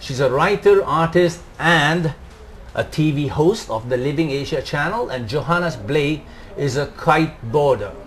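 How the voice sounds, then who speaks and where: A man hosting a TV interview show, opening with a lively scripted welcome and then asking relaxed questions. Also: on the high side, unhurried. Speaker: TV talk show host. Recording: faint background noise.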